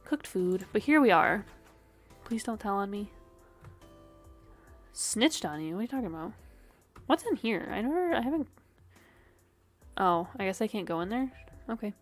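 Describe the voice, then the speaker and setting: A woman's voice: medium pitch, big pitch swings, moderate pace, pauses often, faint music behind. A woman streamer with a sniffly, dry throat, casually thinking aloud and swearing while she plays a game. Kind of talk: casual game livestream commentary.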